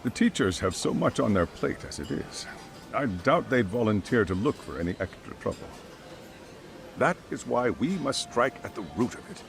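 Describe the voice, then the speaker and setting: An actor playing a cautious, burdened middle-aged school chairman in a video game dub, speaking in a measured, polite and hesitant way. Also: mid-range voice, lively intonation, vocal fry, faint music behind. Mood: grave, measured.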